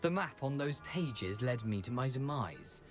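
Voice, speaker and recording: An actor playing a headless schoolboy ghost in a video game, British, chatty and theatrical, with rueful, self-deprecating wit. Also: male voice, medium pitch, lively intonation, a little slow, soft background music, close to an everyday voice. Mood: rueful, wry. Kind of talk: video game character acting.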